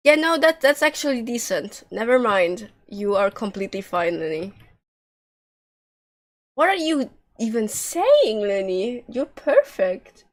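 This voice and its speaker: Slow-paced woman streaming a game. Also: fairly high pitch, big pitch swings. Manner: sweary, slangy. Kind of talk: casual live gameplay commentary.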